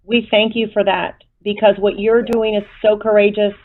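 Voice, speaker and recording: A woman's voice: medium pitch, flat delivery. Effusive woman host on a tinny line. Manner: effusive, fast-talking. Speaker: show host. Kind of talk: enthusiastic interview chat. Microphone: video call.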